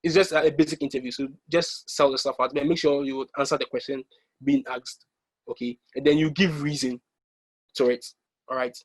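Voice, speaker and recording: Young guy from ghana walking through exam tips on slides, lots of um and uh, mid pitch. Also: fairly even intonation, a little fast, rough recording. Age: young adult. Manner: hesitant, many ums and restarts. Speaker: workshop presenter. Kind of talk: online workshop talk over slides. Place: recorded online workshop.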